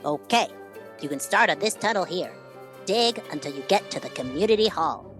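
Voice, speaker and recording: A stern but fair mouse foreman, acted by a woman, brisk and businesslike. The voice is on the low side for a woman and very animated, an audio drama part over faint music. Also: lightly acted.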